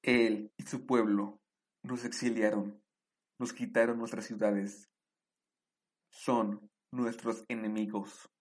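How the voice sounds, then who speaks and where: A man's voice: fairly low pitch, little pitch movement, slow pace, long pauses. A man playing a wary, aggrieved Inca ruler in a radio drama, solemn and suspicious in delivery. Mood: suspicious, bitter.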